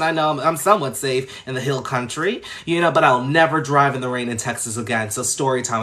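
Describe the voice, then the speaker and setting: A man with a fairly high, bright and forceful voice hosts a casual livestream. He is from Long Island, New York, and talks in a chatty, gushing way. He moves between reading comments and answering them with plenty of expression. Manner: fast talker. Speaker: livestream host.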